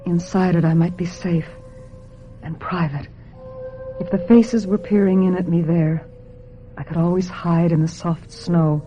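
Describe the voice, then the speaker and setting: A woman's voice: on the low side, fairly even intonation, unhurried, pauses often, dark tone, highs cut off, soft background music, close to an everyday voice. A woman acting in a radio drama as a wistful, sensitive young American woman with a plaintive, earnest delivery. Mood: wistful, reflective. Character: wistful, sensitive young woman, reluctant beauty contestant turned actress.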